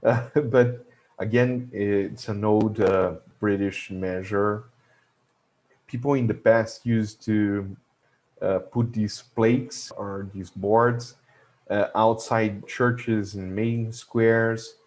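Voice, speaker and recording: A man whose first language is Portuguese, speaking English with a Brazilian Portuguese accent, explaining a logistics topic in a video lesson with many pauses and filler sounds. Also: lowish voice, steady tone, a little mellow. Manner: hesitant, many filler sounds and restarts, chuckles to himself. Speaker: logistics educator.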